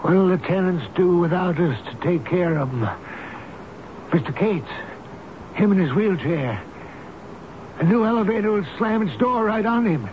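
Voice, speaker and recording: An actor playing a gentle, loyal old elevator operator in a radio drama, speaking in a halting, earnest, folksy and tender way. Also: male voice, sits high, animated. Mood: pleading, distressed. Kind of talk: 1970s radio drama acting. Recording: noisy muffled old radio broadcast recording.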